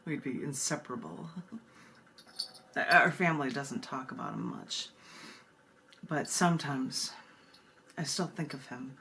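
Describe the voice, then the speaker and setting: A woman's voice: extremely low pitch, wildly swooping pitch, unhurried, pauses often, bright, forceful tone. A woman poet hosting a reading, reciting poetry and chatting about it with emphatic phrasing. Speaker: poet and host of a book reading. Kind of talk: poetry recitation with casual commentary between poems. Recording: clear background noise.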